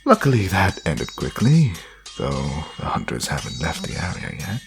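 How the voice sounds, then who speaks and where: A man's voice: a bass voice, huge pitch swings, normal speed, gravelly, over music, heavily acted. A man playing a smug, teasing vampire in an audio drama, with a sardonic, self-important way of talking. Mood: wry, appraising. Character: smug, theatrical, teasing male vampire nobleman. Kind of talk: dramatic audio roleplay acting.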